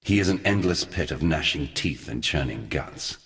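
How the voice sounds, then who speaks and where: A man playing an ancient, forgotten god in a video game, somber and weary, speaking solemnly and deliberately in archaic, portentous phrases. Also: rumbling low, animated, a bit bright, long reverb tail. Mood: grave. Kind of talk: scripted video game character dialogue.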